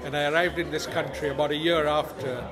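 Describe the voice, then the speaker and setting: An older man from Zimbabwe, in his sixties, talks in a fairly high voice for an interview. A loud crowd chatters around him. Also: fairly even intonation, quick pace. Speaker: retired local councillor. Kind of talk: informal event interview. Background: loud music, busy crowd.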